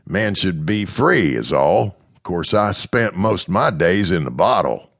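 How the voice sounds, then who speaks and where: A man voicing a kindly rural man who once drank too much, folksy and gently blunt, in a storybook reading. His voice is raised and lively, with gravel in it, and the sound is close and dry. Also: deep voice, measured pace, no room sound, voice pushed up, heavily acted. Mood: plain. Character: plain-spoken rural man, former drinker, kindly.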